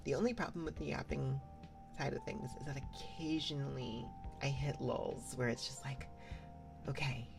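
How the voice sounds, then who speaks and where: Very low, gravelly soft-spoken woman. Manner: rambling, many fillers and restarts; soft-spoken, drifts into whispers. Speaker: VTuber ASMR streamer. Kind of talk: soft casual stream chat. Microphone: binaural mic.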